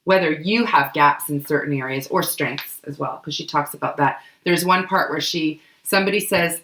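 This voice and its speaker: Casual podcast co-host, a woman with a cold-roughened voice, a bit low, recorded in a roomy space. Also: normal speed, fairly bright tone. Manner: chatty, loose, many fillers. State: hoarse from a cold, coughing. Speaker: book club podcast co-host. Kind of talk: casual explanatory chat.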